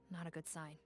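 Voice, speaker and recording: A woman playing a serious, commanding young investigator in a video game, speaking in a measured, businesslike way. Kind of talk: scripted video game dialogue. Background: faint game music.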